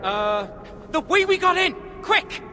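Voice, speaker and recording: An actor playing an unsure, wryly self-deprecating young man in a video game, halting in his delivery. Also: very high voice, sing-song, sharp tone, slight background noise, heavily acted. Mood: nervous. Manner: halting, hesitant. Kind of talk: video game cutscene acting.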